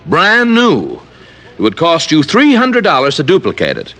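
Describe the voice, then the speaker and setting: Fussy, animated rich snob, bright voice. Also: male voice, fairly high pitch, normal speed, full character voice. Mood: fussy, insistent. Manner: pompous, sarcastic, precise. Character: fussy, haughty, overwrought wealthy male client. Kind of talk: 1940s-50s radio drama acting.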